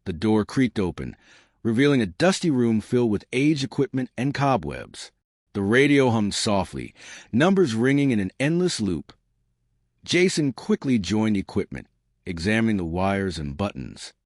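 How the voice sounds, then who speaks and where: A man narrating a scripted horror story in a measured, dramatic way. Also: fairly low pitch, very animated, no room sound, studio quality.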